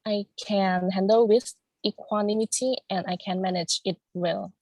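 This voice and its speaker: A young Thai woman university student giving calm, carefully worded mock job interview answers in English over a video call. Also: mid-range voice, normal speed, no echo. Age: young adult. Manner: calm, careful, formal wording.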